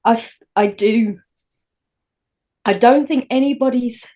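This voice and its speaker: A woman's voice: a little high, takes it slowly, dark tone. A woman with a Northern English accent, co-hosting a casual podcast chat and rambling through her points with frequent restarts. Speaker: podcast co-host.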